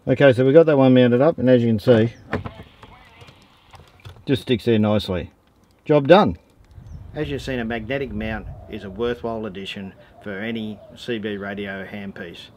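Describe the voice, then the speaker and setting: A man's voice: lowish voice, moderate pace, pauses often, darkish tone. An Australian man in his forties giving a casual, matter-of-fact DIY tutorial, speaking in a laid-back, slangy way. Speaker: host of a how-to four-wheel-driving channel. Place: outdoors in a driveway and around vehicles. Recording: noticeable background ambient noise.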